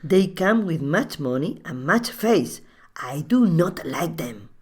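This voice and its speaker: A woman's voice: low voice, big pitch swings, takes it slowly, a little mellow, breathy edge, full character voice. A woman playing a fierce, bossy village woman in a comic radio play, blunt and scornful. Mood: conspiratorial, hostile.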